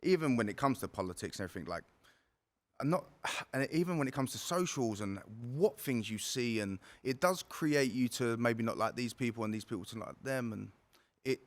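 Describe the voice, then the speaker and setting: A man's voice: mid-range voice, expressive, brisk. A man with a South London accent, a fighter talking loosely as a podcast guest, full of fillers and "bro". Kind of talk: casual conversational interview.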